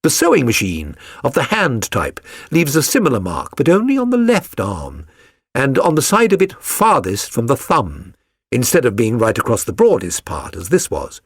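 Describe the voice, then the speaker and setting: A man's voice: mid-range voice, wildly swooping pitch, moderate pace, breathy edge, no room sound, clean studio sound, heavily acted. A man voicing a keen, self-assured detective for an audiobook, with a British accent and a precise, lecturing, condescending manner. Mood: pleased, instructive. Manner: precise, didactic, theatrical. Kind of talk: dramatised audiobook reading.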